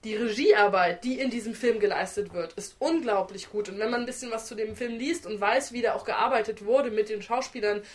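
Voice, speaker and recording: A woman's voice: a little high, fairly quick, hardly any pauses. A woman co-hosting a film podcast, talking casually and critically with emphatic, colloquial opinions.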